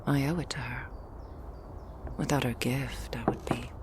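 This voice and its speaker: Woman voicing a very low, stern vampire bodyguard. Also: fairly even intonation, a little slow, background noise. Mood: musing, hushed. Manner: low, hushed, dry and terse. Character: Kazumi, stern, guarded female vampire bodyguard. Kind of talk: ASMR audio roleplay.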